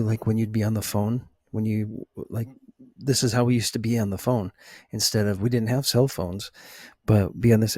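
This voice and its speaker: A middle-aged man talking softly and unhurriedly in a relaxed, reflective ASMR chat, with a slightly husky voice. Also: fairly low pitch, no room sound. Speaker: ASMR video host.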